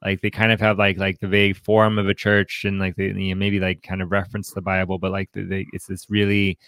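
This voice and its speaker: A man hosting a podcast, discussing in a casual way, haltingly, often repeating words and saying "like". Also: low voice, monotone, fairly bright tone, no echo. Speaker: podcast host.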